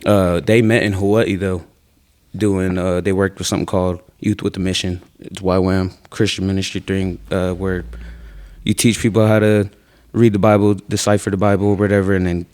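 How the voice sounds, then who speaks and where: A young man with a fairly low voice, talking as a guest on a casual podcast. He speaks in a relaxed, soft-spoken way and drops casual slang like "bro" into his sentences. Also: brisk. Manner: laid-back, soft-spoken, says bro a lot.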